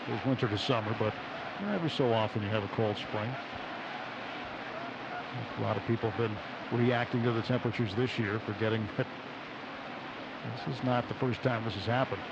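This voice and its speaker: A man's voice: on the low side, steady tone, fairly quick, long pauses. A man giving conversational baseball commentary as a radio co-commentator. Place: open broadcast booth at outdoor ballpark. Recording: muffled radio broadcast, loud outdoor noise. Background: loud stadium ambience.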